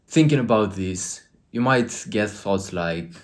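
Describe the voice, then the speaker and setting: A man's voice: lowish voice, animated, takes it slowly. A man from Romania with a Romanian accent, giving an earnest, emphatic motivational talk to camera as a coach.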